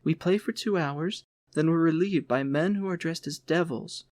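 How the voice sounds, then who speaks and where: A man's voice: on the high side, moderate pace, a little mellow, no echo, natural voice. A man voicing an eager, friendly young woman in an audiobook, with a chatty, enthusiastic manner. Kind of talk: audiobook character voice.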